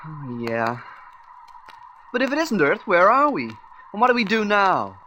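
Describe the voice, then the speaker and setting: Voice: mid-range voice, wildly swooping pitch, a little slow, sharp tone, lo-fi sound, a little hiss or hum, exaggerated voice. A performer playing a worried space crew member in a radio serial, with a hesitant, faltering manner.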